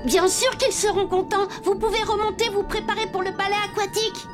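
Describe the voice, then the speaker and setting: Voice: very high voice, level tone, a little fast, loud music behind the voice. An actor voicing a cheerful, helpful little wooden toy boy in a French-dubbed children's cartoon, eager and earnest.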